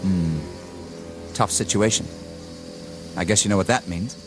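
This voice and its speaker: An American actor playing a gung-ho new lieutenant in a radio drama, clipped and commanding. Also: male voice, sits low, measured pace, music in the background. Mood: brisk, commanding. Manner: clipped, authoritative.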